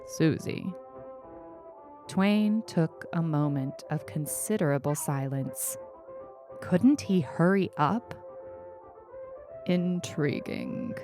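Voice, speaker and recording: A woman reads a short story aloud in a slightly low voice, with lively, swooping intonation. Her pace is unhurried, and music plays softly behind her. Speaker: story reader on a fiction podcast. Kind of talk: scripted audiobook narration.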